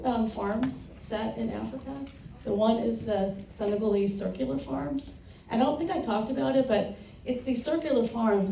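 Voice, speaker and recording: A woman's voice: fairly high pitch, creaky, dark tone. A woman speaking as an author on a panel, explaining things to an audience in an informal, chatty way. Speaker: panelist, children's book author. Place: panel session in a room. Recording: roomy, distant mic, faint noise.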